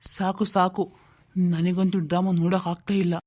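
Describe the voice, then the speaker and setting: A woman's voice: fairly low pitch, flat delivery, measured pace, warm tone. A woman voicing a stern, scornful elder brother who speaks curtly and stubbornly in a cartoon family drama. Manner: curt, dismissive, confrontational. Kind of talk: dramatic cartoon story dialogue.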